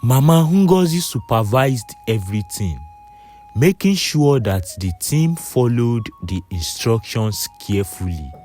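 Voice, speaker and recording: A man's voice: medium pitch, sing-song, unhurried, a little mellow, soft background music. A man narrating a scripted folktale in storybook style.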